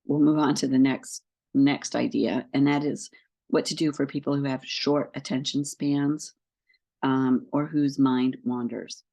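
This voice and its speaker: A woman coach with a head cold, sniffling a little, calmly explaining breathing and tracking exercises step by step. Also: sits low, vocal fry. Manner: calm, patient, explains step by step with frequent ums. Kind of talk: instructional talk to camera.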